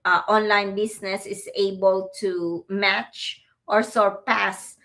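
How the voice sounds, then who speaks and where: A woman in her fifties with a Filipino accent, co-hosting a casual livestream. She talks slowly in a bright, forward voice. She addresses her viewers in a friendly way. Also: mid-range voice, flat delivery. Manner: friendly, speaks straight to viewers, laughs easily. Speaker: livestream co-host.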